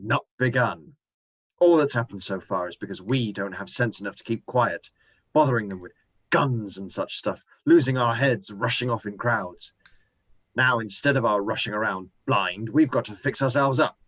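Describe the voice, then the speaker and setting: A man's voice: fairly low pitch, moderate pace, fairly bright tone, dry recording. An actor playing a hardened ex-soldier who has survived an invasion, talking in a brooding, intense way as he lays out his plans in a radio drama. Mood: grim. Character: hardened male ex-soldier survivor, scheming and grim. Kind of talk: amateur radio drama acting. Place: home room, recorded remotely.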